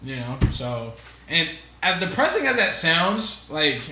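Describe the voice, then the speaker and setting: A man's voice: fairly high pitch, sing-song, a little slow, pushed and bright, reverberant, a little hiss or hum. A man in his twenties, co-hosting a podcast in casual chat, rambling with lots of fillers. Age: 20s. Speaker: podcast co-host.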